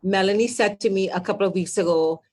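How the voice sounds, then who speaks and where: A woman's voice: medium pitch, quick pace, darkish tone. A woman from Trinidad and Tobago with a Trinidadian accent, speaking earnestly as a healthcare advocate on a panel and often stumbling over repeated words. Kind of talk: passionate testimony on a panel.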